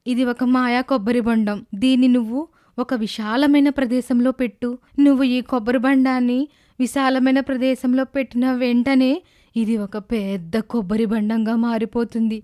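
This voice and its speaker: A voice actress portrays a gentle elderly woman with magic in an animated fairy tale. She gives instructions kindly, in a high voice. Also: moderate pace, natural voice. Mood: gentle, solemn. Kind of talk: children's animated moral tale dubbing.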